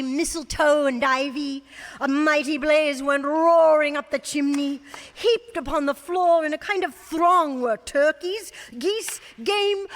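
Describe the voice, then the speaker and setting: High-voiced woman storyteller in reverb. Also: fairly bright tone. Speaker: narrator. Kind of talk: dramatic scripted storytelling. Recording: long hall reverb.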